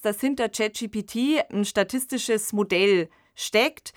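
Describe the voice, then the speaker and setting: A woman's voice: medium pitch, a bit bright, no echo. Woman podcast host, close dry sound. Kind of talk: conversational podcast discussion.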